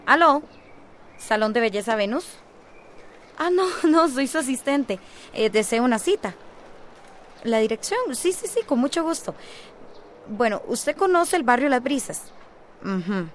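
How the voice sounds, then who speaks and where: An actress playing an eager, polite, naive young salon assistant in a radio soap. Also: female voice, fairly high pitch, expressive, unhurried, long pauses, a little hiss or hum.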